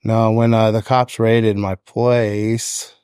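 American guy in his 50s telling life stories on a podcast, lowish voice, close dry mic. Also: flat delivery, slow, darkish tone, clean tone, no echo. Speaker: podcast guest, electrician. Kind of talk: casual personal storytelling. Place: indoor podcast studio. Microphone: close studio microphone on a stand.

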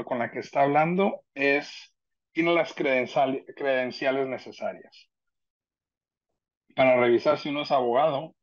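Guy explaining stuff on a video call, chatty n a bit hesitant, kinda muffled sound. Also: medium pitch. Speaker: immigration legal representative giving an online presentation. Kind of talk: informal explanatory presentation. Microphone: video call computer microphone. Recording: dull lo-fi video call audio.

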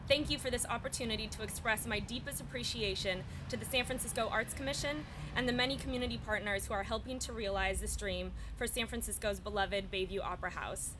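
Young woman reading a letter at an outdoor podium, slightly high voice, street noise behind. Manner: measured, formal reading. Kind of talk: reading a prepared letter aloud. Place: outdoor press event on a city plaza. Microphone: podium mics from a short distance.

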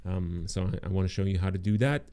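A man's voice: low-pitched, quick pace, warm tone. A man in his thirties casually presenting business bonuses on a livestream into a close mic, rambling with fillers and restarts, his voice worn from talking for hours. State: losing his voice, throaty. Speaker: agency owner presenting course bonuses. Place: home room indoors. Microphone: close studio mic in frame.

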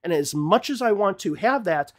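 Middle-aged male host, higher-pitched voice, emphatic ranting commentary, repeats words, close desk mic. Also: expressive, normal speed. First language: English. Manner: gestures broadly with hands while talking. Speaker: English teacher and channel host. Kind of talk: opinionated commentary to camera. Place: small home studio room with bookshelves, indoors. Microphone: podcast mic on desk in front of him.

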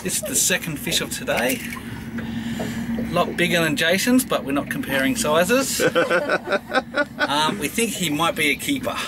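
A man's voice: fairly high pitch, big pitch swings, normal speed, long pauses. A man joking to the camera during a casual fishing trip on a small boat. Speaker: fishing companion. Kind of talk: casual chat. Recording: noisy outdoor audio from far off.

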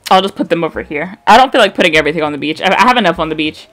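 A young woman from the American Midwest with a Midwest accent and a slight vocal fry, chatting bluntly and swearing while commenting on gameplay. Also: fairly low pitch, racing. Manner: chatty, blunt, swears freely, reads game dialogue aloud flatly. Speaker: gaming streamer.

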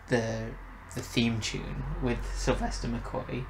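A man's voice: mid-range voice, flat delivery, takes it slowly. A man in his twenties hosting a casual review chat, sitting close to the mic in a small bedroom. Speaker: host of review show. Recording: noisy room ambience.

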